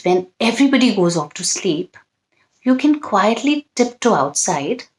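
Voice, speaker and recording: Slow-paced woman reading stories to children. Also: medium pitch. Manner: expressive, addresses young listeners directly. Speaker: children's storyteller, host. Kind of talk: bedtime story reading with chatty asides to children.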